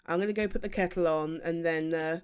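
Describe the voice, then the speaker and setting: A British woman playing a playful, affectionate best friend in an intimate audio roleplay, speaking in a halting, giggly, conversational way. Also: lowish voice, fairly even intonation, smooth, lightly acted. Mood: teasing, playful. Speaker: ASMR roleplay voice performer. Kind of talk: intimate, naturalistic audio roleplay addressed to the listener.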